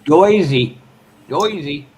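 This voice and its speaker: A man from New Hampshire trading stories in a casual group chat, talking quickly and playfully. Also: fairly high pitch, a little hiss or hum. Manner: animated storytelling, quick and playful.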